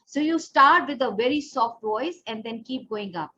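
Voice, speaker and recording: A woman's voice: on the high side, lively intonation, normal speed, pushed and bright. A woman with an Indian accent giving an instructional voice training lesson as a coach, explaining step by step and speaking straight to the learner. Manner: explanatory, demonstrative, addressing the learner directly. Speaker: pageant and personal development trainer. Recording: dull lo-fi audio, faint background noise.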